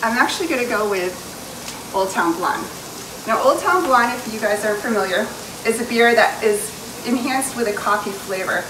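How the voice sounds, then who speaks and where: A woman's voice: a little high, lively intonation, normal speed, background noise. Chatty New England woman cooking at home. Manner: chatty and self-mocking, talks while stirring and pouring. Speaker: home cook hosting a cooking video. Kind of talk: casual cooking demonstration. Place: home kitchen, indoors. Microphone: far camera on a tripod. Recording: roomy kitchen audio from a distant camera. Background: food sizzling in a pan.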